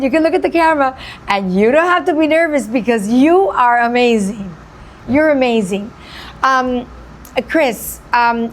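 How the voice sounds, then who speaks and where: A woman's voice: a little high, slight background noise. A woman hosting a radio interview, gushing and repeating phrases for emphasis. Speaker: radio show host. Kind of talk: enthusiastic interview.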